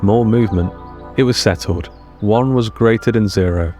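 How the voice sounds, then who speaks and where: Low-voiced man narrating a story. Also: moderate pace, darkish tone. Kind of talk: scripted fiction narration, audio storytelling. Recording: music under the narration.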